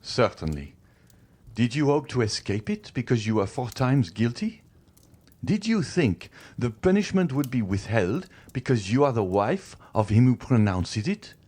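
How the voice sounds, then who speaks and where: A man's voice: medium pitch, very animated, measured pace, darkish tone, slightly rough, pitched above the performer's own voice. A man reading an audiobook, voicing a stern magistrate husband who accuses his wife with cold contempt and climbing fury. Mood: accusing. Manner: accusing, rising to furious outbursts.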